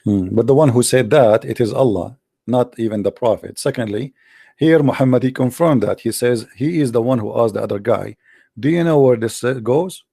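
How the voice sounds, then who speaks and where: A man whose first language is Arabic, hosting a call-in debate, arguing insistently and slowing down to read scripture aloud. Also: mid-range voice, creaky, warm tone, husky, dry recording. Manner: insistent, argumentative, repeats "no, no". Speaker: host.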